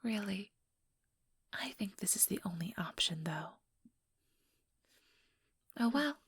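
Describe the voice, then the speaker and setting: A very breathy, whispering female vampire acted by a woman in an ASMR role-play. She speaks slowly and condescendingly, mock-sweet on the surface and coldly menacing beneath. The sound is close and dry. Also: mid-range voice, animated, dry recording, heavily acted. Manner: slow, taunting, mock-sweet. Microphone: close, dry microphone.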